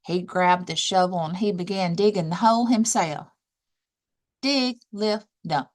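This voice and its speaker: A woman librarian narrating a children's storybook aloud, deliberate and expressive, dwelling on action words. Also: lowish voice.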